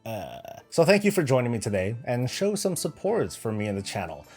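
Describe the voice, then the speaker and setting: A man's voice: medium pitch, wildly swooping pitch, fairly quick, darkish tone, husky, soft background music. A man from Northern California hosting a gaming channel, casually answering viewer questions with sarcastic asides. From United States, Northern California. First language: Filipino heritage, raised in the US. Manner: joking, sarcastic asides, candid.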